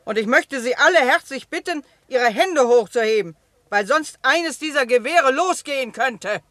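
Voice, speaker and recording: Ein Schauspieler spielt in einer Krimikomödie im Radio einen übertrieben höflichen, neckischen Bandenchef. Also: male voice, very high-pitched, expressive, moderate pace, sharp tone, no room sound, clean studio sound, full character voice. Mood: politely menacing. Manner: exaggeratedly polite, teasing. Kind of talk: radio comedy crime drama acting.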